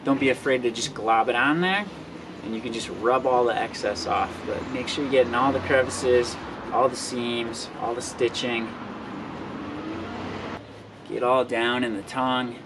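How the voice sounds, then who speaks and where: A man's voice: medium pitch, fairly even intonation, normal speed. A man in his thirties giving a casual how-to explanation as an outdoor vlogger. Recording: clear ambient noise behind voice.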